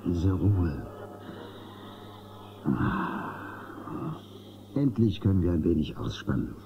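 An actor playing a good-natured deputy sidekick in a space-western audio drama. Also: male voice, sits low, sing-song, moderate pace. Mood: relaxed. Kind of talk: German audio drama acting. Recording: dull lo-fi cassette, noisy backdrop.

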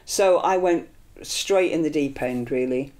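A woman's voice: deep voice, unhurried. Older Scottish-born woman, earnest and rambling. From Scotland. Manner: earnest, animated with hand gestures; rambling with fillers and restarts. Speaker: improvising vocalist. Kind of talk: reflective interview. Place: small indoor studio room.

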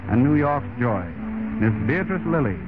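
A man's voice: mid-range voice, animated, moderate pace. A man hosting a 1930s radio show, introducing acts in formal, florid showman's phrasing. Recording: muffled, noisy 1930s radio broadcast.

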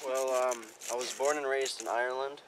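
A young man acting out amateur film dialogue in a halting, casual way, recorded outdoors on a camera mic. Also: mid-range voice, steady tone, a bit bright, a little hiss or hum, low-quality recording. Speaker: amateur actor in film outtakes. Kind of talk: rehearsing scripted dialogue, casual. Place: outdoors in woods.

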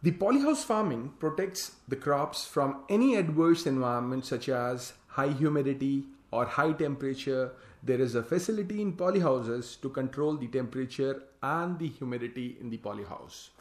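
A man's voice: mid-range voice, expressive, normal speed, hardly any pauses, darkish tone, echoey room. A man giving a slide lecture on farming, reading the slide text aloud and then explaining it in plain words. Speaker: hydroponics farm consultant presenting slides.

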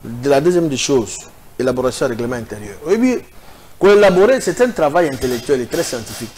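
Un homme d'une quarantaine d'années avec un accent congolais, débattant sur un plateau de télévision d'un ton animé et hésitant, avec beaucoup d'hésitations. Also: male voice, medium pitch, fast talker, a little hiss or hum. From Équateur province, DR Congo. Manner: animated, hesitant, many fillers, gesturing. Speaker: political commentator. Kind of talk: TV debate. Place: TV studio set.

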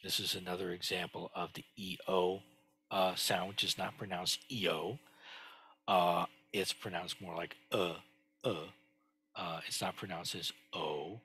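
A man in his sixties teaching a lesson from his home study over a headset, explaining slowly with many fillers and restarts. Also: sits low, fairly even intonation, bright, forceful tone, breathy edge. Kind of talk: screen-share lesson on Chinese characters.

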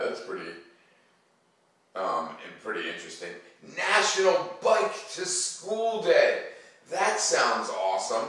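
Low-voiced man in a very echoey room. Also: bright, forceful tone. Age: middle-aged. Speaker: teacher hosting a read-aloud for students. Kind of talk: casual chat to kids. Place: living room at home, armchair by fireplace. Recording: very echoey room, distant mic.

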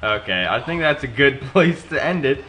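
A man's voice: mid-range voice, expressive, normal speed, background noise. A young man chatting casually while playing a video game with friends, joking and stumbling over restarts. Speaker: let's play co-host. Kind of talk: casual gaming chat.

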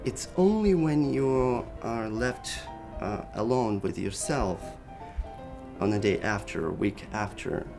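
Middle-aged native Russian speaker, a clarinet teacher, measured and reflective, a gravelly low-ish voice, soft music beneath. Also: male voice, lively intonation. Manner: thoughtful, measured, with pauses and restarts. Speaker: clarinet professor. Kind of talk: reflective interview. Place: living room with daylight window.

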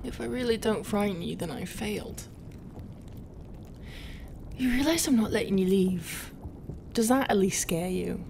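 A woman acts out a tentacled pirate captain in an audio roleplay, proud and brooding. Her medium-pitched voice is slow and guarded, often sinking into a hushed half-whisper, over faint background ambience. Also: noisy background. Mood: brooding, menacing. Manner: hushed, often breathy half-whisper, sighing, hesitant pauses. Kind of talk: roleplay ASMR acting.

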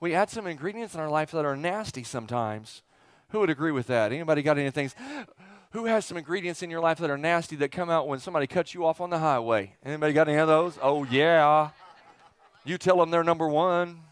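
A man's voice: on the high side, fast talker, smooth. A middle-aged man preaching an informal, interactive sermon as a pastor, folksy and joking, putting questions to his congregation and repeating phrases for emphasis. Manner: folksy, joking, asks the audience rhetorical questions. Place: church auditorium stage, indoors. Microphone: headset.

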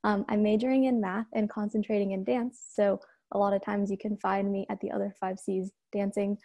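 A woman's voice: mid-range voice, little pitch movement, fairly quick, vocal fry, mellow. A woman in her early twenties from the Bay Area, casually introducing herself as a student on a video call. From San Francisco Bay Area. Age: early 20s. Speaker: student panelist. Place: indoor room, video call. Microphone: video call microphone.

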